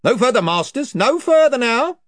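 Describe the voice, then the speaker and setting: A man's voice: very high voice, big pitch swings, moderate pace, fairly bright tone, dry recording, studio quality, pitched above the performer's own voice, exaggerated voice. A man doing a blustering, rambling creature chief. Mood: warning. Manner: rambling, self-important, loses his thread. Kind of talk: audiobook character voice.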